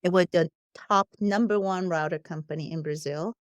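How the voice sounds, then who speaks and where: A woman's voice: lowish voice, moderate pace, no echo, muffled recording. A woman with a non-native English accent, a company founder speaking frankly and laughing easily as a podcast guest. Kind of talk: casual podcast conversation.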